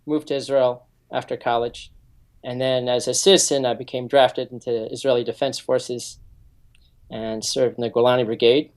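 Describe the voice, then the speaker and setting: A man's voice: medium pitch, flat delivery, normal speed. Earnest man explaining on a radio interview. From United States. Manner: earnest, explanatory, frequent ums and restarts. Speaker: security consultant guest. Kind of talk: interview answers.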